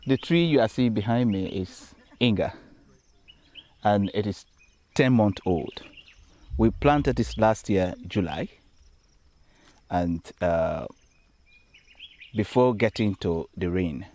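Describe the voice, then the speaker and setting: Deep-voiced Sierra Leonean man. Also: slow, pauses often, a little mellow. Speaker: agricultural trainer. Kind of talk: unscripted on-site instructional explanation. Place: outdoors on a farm plantation.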